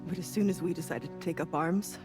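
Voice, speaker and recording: A woman's voice: fairly low pitch, a little fast, close to an everyday voice. A woman acting an earnest, aggrieved resistance fighter in a video game cutscene. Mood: bitter. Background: background music.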